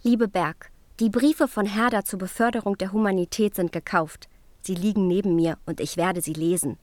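An actress playing an earnest young queen, reading personal letters in a polite, measured way for a radio drama. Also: mid-range voice, fairly even intonation, dry recording, close to an everyday voice. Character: Queen Luise, earnest young royal writing intimate letters.

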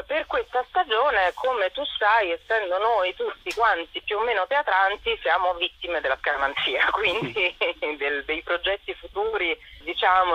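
Husky low-voiced woman on a dull line. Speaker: theatre collective member, interview guest. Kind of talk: conversational radio interview. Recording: dull telephone-like radio line.

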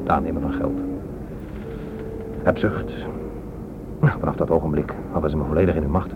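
Weary corrupt police inspector, muffled noisy recording. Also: male voice, a bass voice, fairly even intonation, normal speed. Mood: rueful, self-justifying. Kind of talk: radio play acting. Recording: muffled lo-fi radio recording, strong noise.

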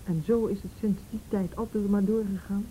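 An actress playing a calm woman in a Dutch radio play, questioning someone gently in a measured way. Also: medium pitch, slight background noise, natural voice, rough recording. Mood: reassuring, resolute. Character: calm, probing woman interviewer helping an abused girl.

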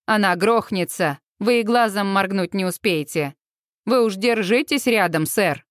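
A woman's voice: medium pitch, unhurried, no room sound, studio quality, voice pushed down, natural voice. A woman voicing a laid-back young male fairground handler in an audiobook, giving matter-of-fact instructions. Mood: casual, cautioning.

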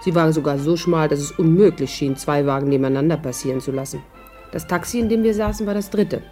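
A woman's voice: low voice, fast talker, mellow, faint music behind, lightly acted. An actress playing a witty, spirited, worldly woman in a 1950s radio drama, wry and articulate as she narrates and talks. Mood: lively storytelling.

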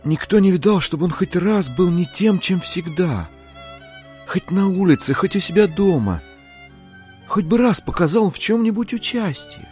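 A male narrator performs a classic tale for an audio drama. His voice sits high for a man and is expressive, with soft music underneath. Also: normal speed.

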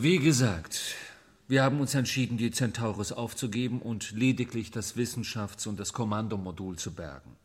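An actor playing a matter-of-fact scientist delivering a formal, businesslike report in a German radio drama, with a husky voice. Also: male voice, lowish voice. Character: matter-of-fact male scientist heading a department.

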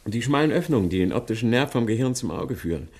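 Older professor in a radio play, kinda husky voice, careful n exact, bit hesitant. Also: male voice, medium pitch, lively intonation, fast, a little mellow. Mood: puzzled, intrigued. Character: older professor, learned father, puzzled by a skull. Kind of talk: German radio play acting.

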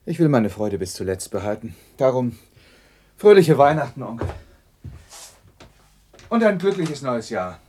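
A man playing a warm-hearted, generous nephew in a radio drama, earnest and pleading. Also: mid-range voice, big pitch swings, measured pace, pauses often, a little hiss or hum. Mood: earnest, hearty. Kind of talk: 1960s German radio drama acting.

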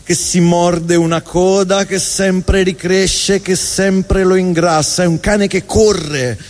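A man's voice: high-pitched, steady tone, takes it slowly, soft background music. A man performing a theatrical comic storytelling monologue on a live stage. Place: indoor stage at a live event. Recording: reverberant hall, live stage.

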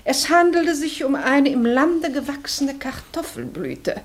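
A woman's voice: high-pitched, very animated, unhurried. An actress playing a prim, indignant society lady with an affected, genteel manner in a 1960s German radio drama. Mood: prim complaint.

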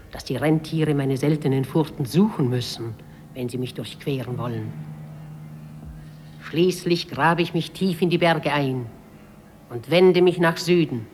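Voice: medium pitch, a little mellow, lightly acted. An actor voicing a proud, wild river spirit born of glacier ice, in a poetic monologue from a 1950s German radio play. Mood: lyrical, then swelling, forceful. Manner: lyrical, proud, declaimed. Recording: old radio drama with faint noise.

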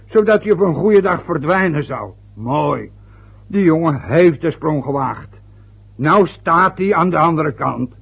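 A man's voice: fairly high pitch, expressive, measured pace, vocal fry, breathy edge, heavily acted. An actor playing an aging, bitter father who grows short of breath, in a radio play. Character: aging working-class father, bitter and ill. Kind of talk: radio drama acting. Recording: muffled old radio play recording.

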